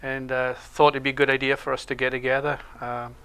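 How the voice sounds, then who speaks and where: Man, Welsh accent, bright tone, relaxed halting talk. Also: medium pitch, clear, resonant tone, low-quality recording. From Wales. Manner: relaxed, hesitant with many uh fillers. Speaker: show co-host and security instructor. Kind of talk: casual interview chat.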